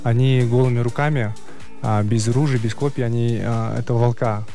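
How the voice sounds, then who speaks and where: Male athlete guest for a casual radio interview, medium pitch, hesitant explainer, faint music underneath. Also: steady tone, mellow. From Kyrgyzstan. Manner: halting, explanatory, with many hesitations. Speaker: athlete guest, player of a traditional horseback sport.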